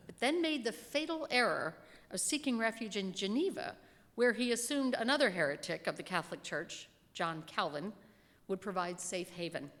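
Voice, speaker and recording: A woman's voice: mid-range voice, fairly bright tone. Middle-aged woman reading a sermon in a reverberant hall. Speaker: lay reader delivering a minister's sermon. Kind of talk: sermon read from a script at a lectern. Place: church hall, indoors at a pulpit. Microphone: gooseneck lectern microphone. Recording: echoey hall with long reverb.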